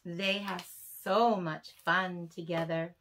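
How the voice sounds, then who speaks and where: A woman in her sixties reading children's poems aloud as a storyteller, with deliberate, animated phrasing and dramatic pauses. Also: lowish voice, a little hiss or hum. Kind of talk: expressive children's poetry reading. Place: small furnished room indoors.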